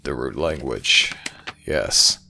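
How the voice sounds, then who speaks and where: Casting: brooding underworld jackal god, bottomless bass growl, forceful edge, humourless formal speech, fantasy audio drama. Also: male voice, lively intonation, slow pace, soft background music, heavily acted. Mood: grave, reserved. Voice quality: very deep, gravelly. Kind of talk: scripted fantasy audio roleplay.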